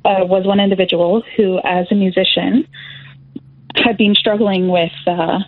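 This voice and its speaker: A woman's voice: mid-range voice, steady tone, measured pace, no echo. A young adult woman music therapist, explaining her voice work as an interview guest with frequent fillers. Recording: tinny phone-like line.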